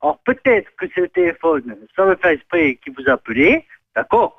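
A man in a heated argument over the telephone, emphatic and repetitive, quick to anger. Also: high-pitched, sing-song, husky. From Corsica, France. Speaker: prank call victim. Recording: dull lo-fi phone line.

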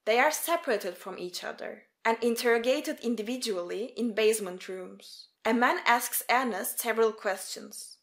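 A woman's voice: on the high side, moderate pace, hardly any pauses, fairly bright tone. A woman reading scripted narration as a storyteller.

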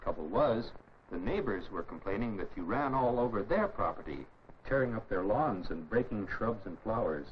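An actor playing a firm, fair-minded middle-aged American mentor in a 1950s educational film, with a measured, lecturing manner. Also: male voice, on the low side, lively intonation, lightly acted. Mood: helpful, frank. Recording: muffled, noisy old 1950s film soundtrack.

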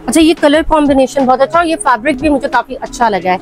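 A high-voiced woman in her thirties with a Pakistani Urdu accent talks chattily on a lapel mic in a busy fabric shop. She is eager to see every colour. Faint music plays behind her. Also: fast talker. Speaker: vlogger. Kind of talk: shopping vlog chat with shopkeeper. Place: crowded fabric shop in a market. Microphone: clip-on lapel mic.

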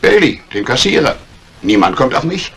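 An actor playing a scheming embezzler in a 1950s radio crime drama, calculating and menacingly polite. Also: male voice, medium pitch, big pitch swings, moderate pace, creaky, fairly bright tone, husky, full character voice. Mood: coolly scheming. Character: cold, scheming embezzling banker plotting murder.

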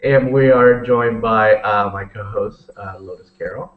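A man in his forties opens a web show over a webcam, excited and joking as he welcomes people. The sound is dull and roomy, as if the mic sits far back in a bare room. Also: on the low side, measured pace. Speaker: show host. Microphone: webcam video call. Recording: muffled roomy webcam audio.

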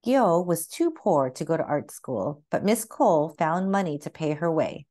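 A woman narrates a children's picture book in a slightly low voice. Her pitch moves widely as she reads, and she phrases each sentence carefully, in an expressive storytelling style. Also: moderate pace. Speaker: read-aloud narrator.

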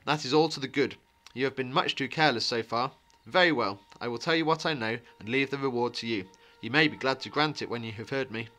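A secretive wandering man of a fantasy tale, acted out by a male reader. He is calm, wary and commanding, with a brisk, ominous delivery. His mid-pitched voice has a bright, tense edge. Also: faint music behind, close to an everyday voice. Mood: measured, amused.

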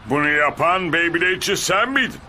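Boastful, high-voiced anime bruiser. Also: male voice, lively intonation, bright, forceful tone, a little hiss or hum. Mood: startled, defiant. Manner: blustering, taunting, drawn-out. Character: boastful, brutish male battle-game bruiser. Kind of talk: dubbed anime battle acting. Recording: music behind the voice.

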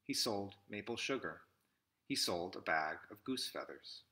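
A man in his thirties reads a storybook aloud in measured short phrases with pauses, recorded indoors at arm's length from the mic. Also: on the low side, expressive, noisy background. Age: 30s. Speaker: picture book reader. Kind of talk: read-aloud storybook narration. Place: small indoor room with classroom wall displays.

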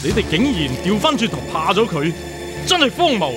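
A man with a Hong Kong Cantonese accent playing a stern, righteous imperial examiner in a radio drama, forceful and oratorical. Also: high voice, very animated, moderate pace, over loud music. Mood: indignant. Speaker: actor.

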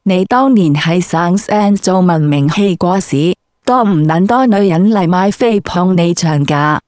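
A woman's voice: lowish voice, measured pace, a little mellow, dry recording, clean studio sound. A woman with a Cantonese accent putting brash, slangy questions as an interviewer. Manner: brash, crude street slang.

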